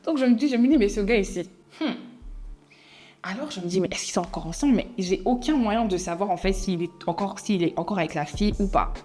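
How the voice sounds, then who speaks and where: A young woman from Belgium, telling a personal story in a chatty, animated way and slipping in English phrases. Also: medium pitch, fast talker. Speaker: vlogger. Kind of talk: casual storytime monologue.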